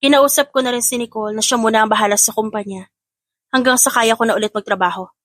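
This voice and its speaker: A woman's voice: a little high, fairly even intonation, fast talker, a bit bright, dry recording. Woman playing a proud, guarded businesswoman, dry sound. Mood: calm, reassuring. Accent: Filipino. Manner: firm, direct.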